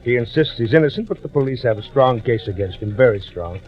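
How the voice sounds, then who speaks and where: An actor playing a brisk, patronizing older businessman in 1940s radio drama, authoritative and given to repeating his words. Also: mid-range voice, animated, lightly acted. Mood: blustery concern. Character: brisk, fussy, patronizing male boss, wealthy and older. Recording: slightly muffled vintage radio recording.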